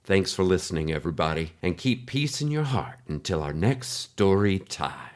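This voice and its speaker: A man's voice: sits low, very animated, normal speed. A man playing a folksy, heartfelt podcast host who addresses the listeners directly in a scripted drama. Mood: friendly, heartfelt. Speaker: podcast host in a fiction show.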